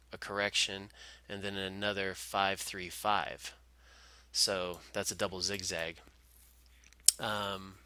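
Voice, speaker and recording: Male analyst, lowish pitch with a very sharp, bright edge, informal tutorial delivery, close and dry. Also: clear, resonant tone, no echo. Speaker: market analyst presenter. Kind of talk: informal screen-share chart commentary.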